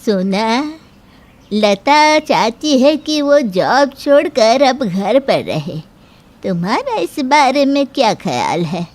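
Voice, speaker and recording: A woman acts out a middle-aged Indian mother-in-law from a moral cartoon. She is stern yet fair, with a fairly high voice. Her words come slowly and carefully, as measured admonishment. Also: sing-song, slight background noise. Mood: grave, reproving. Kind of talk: Hindi moral-story cartoon dialogue.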